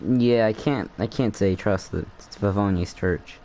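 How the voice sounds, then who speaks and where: A man giving casual gameplay commentary, halting and rambling as he thinks aloud. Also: fairly low pitch, warm tone, a little hiss or hum. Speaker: gaming streamer.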